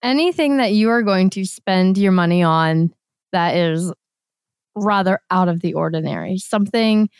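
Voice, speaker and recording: A woman co-hosting a casual podcast, her voice slightly hoarse, explaining things earnestly. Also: fairly low pitch, normal speed, a little mellow, no echo, clean studio sound. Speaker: podcast co-host.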